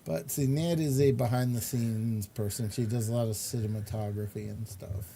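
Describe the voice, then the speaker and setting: A man hosting a casual chat interview, speaking in a halting, unhurried way. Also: medium pitch, fairly even intonation, creaky, warm tone. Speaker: show host. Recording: noticeable background noise.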